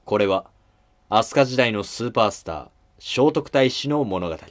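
A man's voice: on the low side, animated, normal speed. A man reading scripted history narration as the narrator of a drama.

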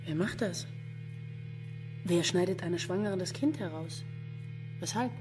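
An actress playing a sharp, unimpressed female police investigator with a dry manner in a crime radio play. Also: on the low side, measured pace, over music, close to an everyday voice. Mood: disturbed, pressing. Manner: dry, matter-of-fact, wry. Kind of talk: German crime radio drama acting.

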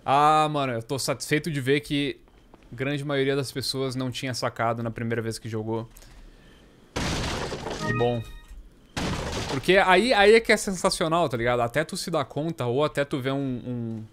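A man's voice: medium pitch, moderate pace. A man, giving casual, slangy, sweary live gameplay commentary as a streamer. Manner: slangy, sweary, excitable banter. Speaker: game streamer. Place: small indoor room.

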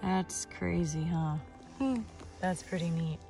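30s woman doing an outdoor vlog, lowish voice, excited informal chat, bit of music behind her. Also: takes it slowly, creaky. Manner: enthusiastic, informal, exclamatory. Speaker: vlogger and rock-hunting host. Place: outdoors on a rocky shore.

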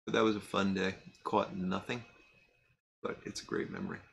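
A middle-aged man chatting casually to camera in a cluttered workshop, rambling with fillers and pausing to puff on a pipe. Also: low-pitched, gravelly, a little hiss or hum. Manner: relaxed and rambling, many fillers and restarts; pauses to puff on a pipe while talking. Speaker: pipe-smoking vlogger. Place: cluttered workshop room, indoors.

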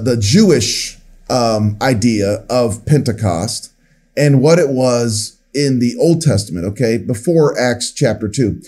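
A man's voice: medium pitch, dark tone, slightly rough. A man in his fifties, a pastor giving a conversational video sermon in a small curtained room, often restarting phrases.

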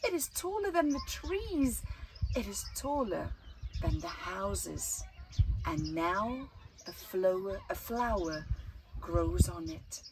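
Slow-paced woman reading a storybook outdoors. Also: medium pitch, very animated, background noise. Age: middle-aged. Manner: deliberate, dramatic pauses between short phrases. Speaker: children's storytime host. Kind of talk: expressive picture-book read-aloud for children. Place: outdoors in an open gazebo at a flower farm. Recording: outdoor recording with birdsong behind. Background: birds chirping.

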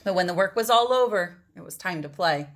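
A woman's voice: fairly low pitch, expressive, a bit bright. Woman reading a picture book aloud expressively. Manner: dramatic phrasing with drawn-out words for emphasis. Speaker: storybook reader.

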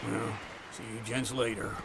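A man's voice: on the low side, level tone, normal speed, creaky, noisy background. A man voicing a grizzled old outlaw who teases in a jaunty, needling way, in a dramatic game cutscene. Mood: teasing. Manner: teasing, jaunty.

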